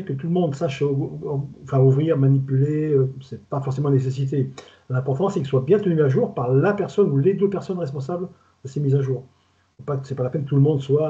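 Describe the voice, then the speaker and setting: Fast-talking older man, southern French accent. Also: mid-range voice, warm tone. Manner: fast, rambling, colloquial, stumbles and repeats words. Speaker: retired food safety inspector, guest expert. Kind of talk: casual webinar talk. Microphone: video call. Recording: dull lo-fi video call audio.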